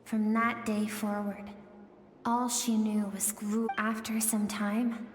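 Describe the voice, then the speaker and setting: Woman as a slow, solemn storyteller in deep reverb. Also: little pitch movement, background noise, natural voice. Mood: solemn, sorrowful. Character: gentle, wistful female storyteller, solemn and tender. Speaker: narrator. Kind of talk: scripted video game story narration. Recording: long reverb, music behind.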